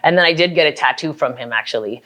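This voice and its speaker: A woman's voice: sits low, animated, fast, a bit bright. A woman chatting casually as an interview guest, laid-back and conversational. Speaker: interview guest, tattoo artist and studio owner. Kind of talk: casual chat.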